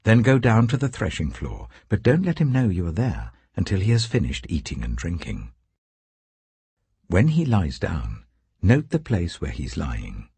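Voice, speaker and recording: Older mother-in-law male reader acting, caring and shrewd, giving motherly instruction, husky and expressive, audiobook scripture. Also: deep voice, a little slow, no echo, exaggerated voice. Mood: advising.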